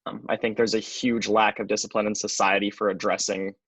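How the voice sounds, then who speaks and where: A woman in her twenties answering an interview in a measured, matter-of-fact way. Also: very deep voice, flat delivery, breathy edge, dry recording. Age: 20s.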